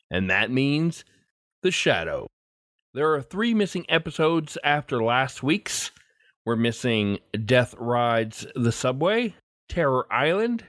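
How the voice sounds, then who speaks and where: Male podcast host for a scripted show intro, medium pitch, animated intonation, dry sound. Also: dry recording.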